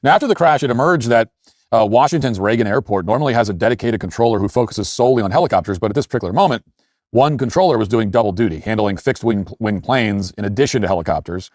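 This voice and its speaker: A man hosting an opinion commentary show, speaking in an emphatic, critical way with deliberate phrasing and asides. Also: lowish voice, animated, a little mellow, no room sound, studio quality.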